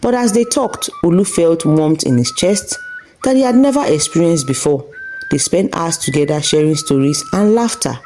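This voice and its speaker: A woman's voice: fairly low pitch, animated, normal speed, mellow, a little hiss or hum. A woman storyteller reading a scripted folktale narration.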